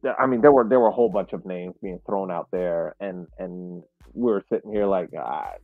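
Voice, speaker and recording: An American man co-hosting a sports podcast, giving casual, measured analysis point by point over thin, phone-like audio. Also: sits low, no echo, faint music behind.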